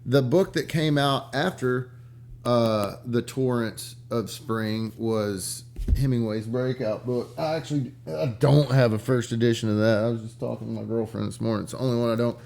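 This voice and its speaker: Male vlogger, mid-pitched voice, casual storyteller, profane and slangy, loose halting delivery that circles back on phrases. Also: fairly even intonation, vocal fry, a little mellow. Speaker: vlog host and book collector. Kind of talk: casual rambling storytelling about rare books.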